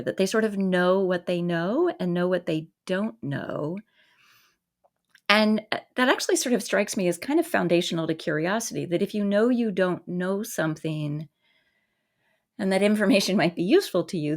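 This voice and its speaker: A woman's voice: on the low side, a little mellow. A woman hosting a studio interview show, asking thoughtful, musing questions. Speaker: podcast host. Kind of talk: interview conversation. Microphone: studio microphone.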